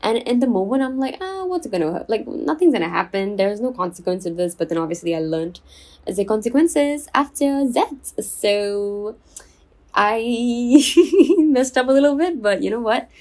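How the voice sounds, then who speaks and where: A young woman from Singapore hosting her own podcast in a chatty, confiding way, enunciating clearly with a soothing voice. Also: a little high, lively intonation, moderate pace, darkish tone. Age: 20s. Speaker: podcast host. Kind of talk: casual solo podcast chat.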